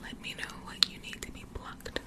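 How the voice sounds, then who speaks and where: A woman whispering softly and soothingly in ASMR style, repeating gentle phrases close to the microphone. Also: moderate pace. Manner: soft, soothing, repetitive whisper. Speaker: ASMR livestream host.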